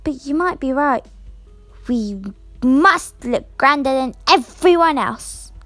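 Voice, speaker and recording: A woman's voice: sits high, animated, takes it slowly, a bit bright, no echo, soft background music, exaggerated voice. A female reader voicing a vain, rude young storybook stepsister, snappish and haughty, given to shrieking. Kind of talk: storybook character voice.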